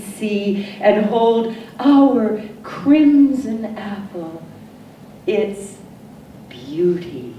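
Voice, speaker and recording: A woman poet reading her own work as a dramatic recitation, solemn and deliberate, with emphatic phrasing and pauses. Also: mid-range voice, warm tone. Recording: echoey, distant mic, noisy room.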